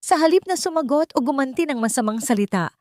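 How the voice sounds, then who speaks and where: A woman's voice: sits high, lively intonation, fast, a little mellow, no room sound, studio quality. A woman storyteller reading scripted narration in a dramatic style.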